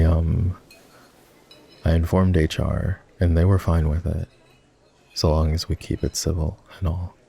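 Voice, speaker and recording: A man playing a regretful, tender ex-boyfriend turned boss in an intimate ASMR roleplay, speaking softly and hesitantly with a husky, gravelly voice. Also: rumbling low, full character voice. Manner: soft, hesitant, confessional.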